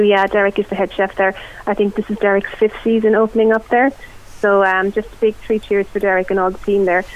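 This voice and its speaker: Chatty Irish woman on a phone line. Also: medium pitch. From Ireland. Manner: chatty and enthusiastic. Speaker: food reporter. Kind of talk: radio food report.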